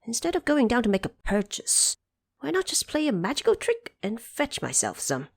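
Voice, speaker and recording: A woman's voice: on the high side, wildly swooping pitch, normal speed, vocal fry, voice pushed up, exaggerated voice. A woman voicing a bold, scheming male Monkey King with a confident, commanding manner in an audio drama.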